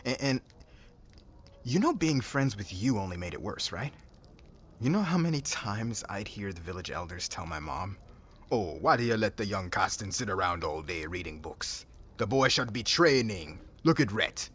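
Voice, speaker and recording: A man's voice: lowish voice, expressive, moderate pace, a bit bright, a little hiss or hum. A man playing a cocky, defensive young warrior who talks in casual slang and stammers a little in an audio roleplay. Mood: bitter. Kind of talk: ASMR audio roleplay drama.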